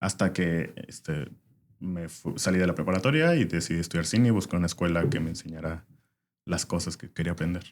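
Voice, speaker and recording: A young man born in Venezuela talks in a very low voice, relaxed and unhurried. He fills his pauses with "este" as he tells his story in a casual interview. A faint hiss sits under the recording. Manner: relaxed, frequent "este" fillers. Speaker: podcast guest, former filmmaker.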